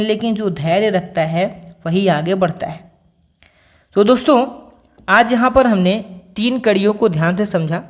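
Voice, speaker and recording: Patient man teaching singing practice. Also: high-pitched. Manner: patient, repeats key points, asks rhetorical questions. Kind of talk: spoken music lesson.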